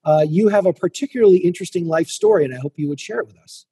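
A male interview host with an extremely husky, breathy voice. It sits fairly high for a man and has a mellow, dark tone, and he phrases questions courteously. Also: racing. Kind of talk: conversational interviewing.

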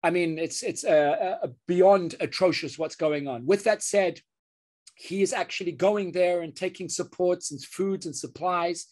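A man's voice: high voice, darkish tone. A man, a surgeon, speaking as an interview guest in an earnest way, often restarting his sentences. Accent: British. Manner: earnest, halting with many restarts. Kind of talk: interview conversation.

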